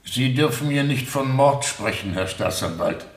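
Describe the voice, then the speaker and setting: A man's voice: medium pitch, animated, moderate pace, lightly acted. Man acting distant, stern presiding judge. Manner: curt, formal rulings. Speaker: actor. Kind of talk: 1970 German radio drama acting. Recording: distant, roomy, faint noise.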